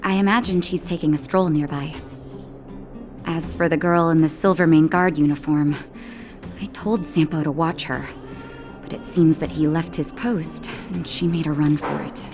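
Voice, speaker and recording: A calm woman doctor from a video game, with a slightly low voice. She speaks gently and with composure, reassuring and caring. Soft music plays behind her scripted lines. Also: moderate pace. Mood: wry, composed.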